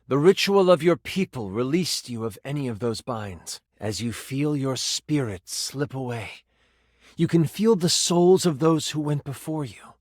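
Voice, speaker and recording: Animated, theatrical game master. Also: male voice, medium pitch, unhurried, dry recording, clean studio sound. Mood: dramatic narrating. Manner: theatrical, eager, geeky. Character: excitable, encouraging male tabletop game master, a personified die. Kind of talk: dramatic tabletop fantasy narration with playful asides.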